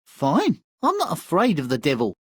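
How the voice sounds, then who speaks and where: A man's voice: fairly high pitch, wildly swooping pitch, moderate pace, slightly rough, no echo, clean studio sound, exaggerated voice. A man voicing a carefree, overconfident young lad in a fairy tale game, casual and breezy. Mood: cocky. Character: cocky, carefree young lad, a luck child.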